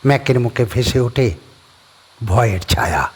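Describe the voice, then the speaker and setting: Expressive male horror storyteller. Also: medium pitch, measured pace, slightly rough. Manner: expressive storytelling with rising and falling tension. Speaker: narrator. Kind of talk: dramatic horror story narration.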